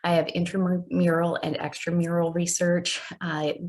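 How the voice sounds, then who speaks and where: A middle-aged woman from Alaska, a physician giving a conference talk over a video call in an unhurried storytelling way. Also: on the low side, flat delivery, darkish tone, a little hiss or hum. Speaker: physician and research network director, presenter. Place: indoors, virtual background.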